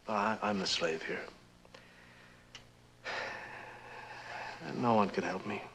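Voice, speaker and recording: A man's voice: fairly low pitch, fast talker, fairly bright tone. An actor playing a reclusive, paranoid, imperious rich man in a vintage television drama, with a grandiose, volatile manner. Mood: tormented, self-pitying. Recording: noisy old television soundtrack.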